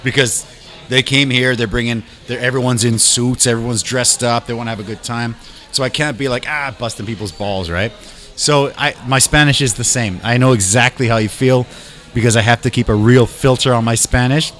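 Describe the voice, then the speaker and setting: A man who grew up in Canada, hosting a relaxed live chat show from a bar, talking animatedly, swearing casually and agreeing quickly. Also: medium pitch. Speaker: host.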